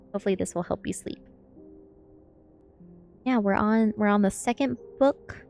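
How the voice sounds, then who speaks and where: A woman's voice: mid-range voice, a little slow, creaky, a little mellow, soft background music. A woman streamer reading a book ASMR style and chatting casually with viewers in a playful way. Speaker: VTuber streamer.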